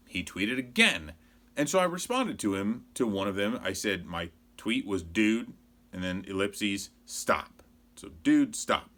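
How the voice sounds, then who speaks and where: A man's voice: fairly low pitch, a bit bright. An American man around forty giving a casual, rambling opinion monologue as a sports show host, conversational and exasperated. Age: 40s.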